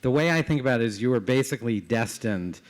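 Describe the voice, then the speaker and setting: A man's voice: mid-range voice, expressive, fast talker. A man hosting a live interview in a conversational, affirming way, prompting his guest with questions. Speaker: interviewer, company founder. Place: live event before an audience.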